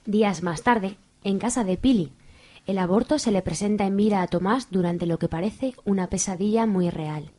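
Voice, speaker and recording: A woman's voice: medium pitch, normal speed, dark tone. A woman with an accent from Spain reading scripted narration for a radio soap. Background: faint music.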